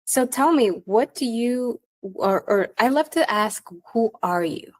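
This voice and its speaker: A woman's voice: fairly high pitch, lively intonation, measured pace. A woman hosting a coaching podcast, upbeat and enthusiastic as she introduces the show and chats with her guest.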